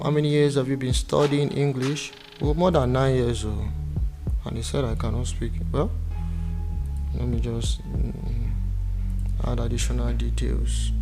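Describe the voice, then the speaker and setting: Nigerian man narrating a flat walkthrough. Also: medium pitch. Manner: talks through each step aloud, muttering to himself at times. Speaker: tutorial presenter. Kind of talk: screen-recorded walkthrough. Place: indoor room.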